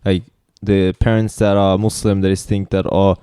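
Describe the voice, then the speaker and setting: A man's voice: low-pitched, little pitch movement, moderate pace, dark tone, clear, resonant tone, no room sound, studio quality. A man co-hosting a podcast, chatting casually in an easygoing way and often laughing along with his guest. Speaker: podcast co-host. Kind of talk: casual conversation.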